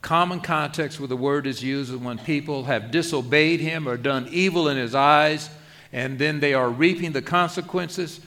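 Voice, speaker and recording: A man's voice: on the high side, normal speed, fairly bright tone. A man preaching a sermon as pastor, conversational and self-deprecating, often asking the congregation rhetorical questions.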